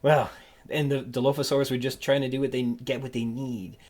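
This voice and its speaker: A man hosting a podcast interview in a casual, halting, rambling way with a playful, joking streak. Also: medium pitch, flat delivery, a little mellow. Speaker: podcast host interviewing a guest.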